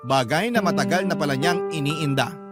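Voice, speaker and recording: A man's voice: on the high side, normal speed, loud music behind the voice, natural voice. A man with a Filipino accent playing a regretful letter writer, narrating a sad love story in first person for radio. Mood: grave. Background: loud musical underscore.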